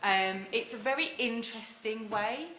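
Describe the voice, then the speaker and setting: A woman commissioning editor speaking on a live theatre panel in a friendly, measured way, with a few ums. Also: on the high side, bright, forceful tone, a little hiss or hum. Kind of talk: panel talk. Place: theatre stage. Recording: roomy theatre sound.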